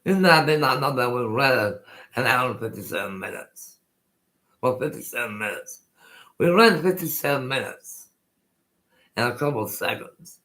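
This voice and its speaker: An older man in his sixties hosts his own live stream, chatting loosely and going back over his words. His toothless speech can sound unclear, and his voice has a bright, forward edge. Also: medium pitch, animated, normal speed, pauses often. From Colorado, USA. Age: 60s. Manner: rambling, repeats himself, restarts often. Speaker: host. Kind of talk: casual live-stream chat.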